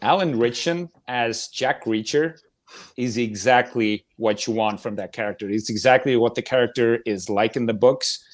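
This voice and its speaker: A man's voice: mid-range voice, moderate pace. A man chatting casually and enthusiastically as a podcast panelist, with informal wording and some mild swearing. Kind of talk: casual chat about films and TV shows.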